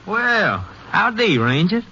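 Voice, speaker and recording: An actor playing a nervous, boastful ranch hand with a rural western American drawl, excitable and stammering, in a 1950s radio western. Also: male voice, high-pitched, slow, noisy background, full character voice. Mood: proud, chuckling. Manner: excitable, folksy, stammering protests. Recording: dull old-time radio recording.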